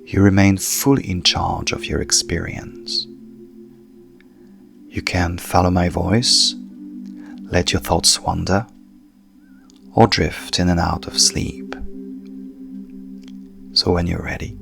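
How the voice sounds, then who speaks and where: A low male voice with a gravelly creak leads a sleep hypnosis session. He is calm and measured, letting soft phrases trail into breath and leaving long pauses. Gentle meditative music sits behind him. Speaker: coach and hypnotherapist guiding a session.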